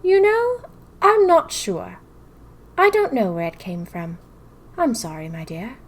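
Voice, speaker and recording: A woman voicing an evasive, soft-spoken old male pawnshop keeper in an audio drama. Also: on the high side, sing-song, unhurried, exaggerated voice. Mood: cagey, feigned innocence.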